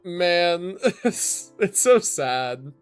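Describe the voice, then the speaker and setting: A man streams a video game and chats casually over its music. His voice sits a little high and swings around a lot in pitch. He talks in loose, rambling slang and swears freely. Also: big pitch swings, very slow, clean tone. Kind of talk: casual gaming stream commentary.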